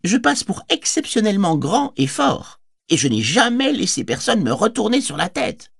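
A man's voice: sits high, big pitch swings, moderate pace, gravelly, a bit bright, breathy edge, no echo, clean studio sound, pitched above the performer's own voice, exaggerated voice. A man voicing a bold, cheeky young male hobbit who teases with playful mock boasting, in an audiobook reading. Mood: teasing. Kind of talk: audiobook character voice.

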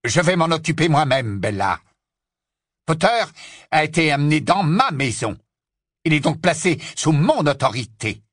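A man's voice: on the high side, big pitch swings, a little slow, a bit bright, dry recording, clean studio sound, pitched above the performer's own voice, full character voice. A man voicing a proud, possessive lord of the manor, haughty and insistent, in an audiobook story.

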